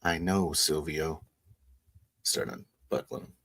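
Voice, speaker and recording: Male, deep voice, casual and halting improvised tabletop roleplay. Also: vocal fry, fairly bright tone. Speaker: player in a tabletop roleplaying game. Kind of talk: improvised in-character roleplay and first-person narration.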